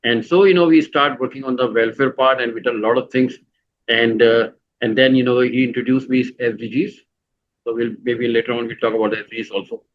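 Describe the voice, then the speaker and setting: Guest: middle-aged Pakistani-accented man, mid pitch, halting and filler-heavy, slightly muffled lo-fi sound. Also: steady tone. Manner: halting, full of "you know" fillers and restarts. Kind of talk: conversational interview answers.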